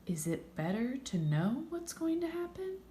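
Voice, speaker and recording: An earnest storybook fox, read by a woman, wonders aloud in a thoughtful, questioning way. The delivery is unhurried and expressive, with some room echo. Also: high-pitched, slight background noise, pitched above the performer's own voice. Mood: wondering. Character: earnest, inquisitive male fox, wistful and searching.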